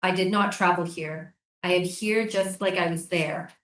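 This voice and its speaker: A woman's voice: fairly low pitch, steady tone, normal speed, a bit bright, recorded from a distance, natural voice. A woman in a staged play reading, playing an earnest, persistent writer questioning a military prison. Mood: haunted, reading aloud. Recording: roomy, echoing live staged reading.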